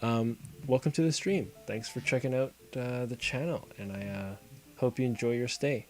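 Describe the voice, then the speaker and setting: A man streaming a card game talks mostly to himself at a mid-range pitch, pondering his deck aloud. He breaks off now and then to greet and answer viewers in chat. Music plays clearly behind his voice. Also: normal speed. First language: not Korean. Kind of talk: casual live-stream commentary while gaming. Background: background music.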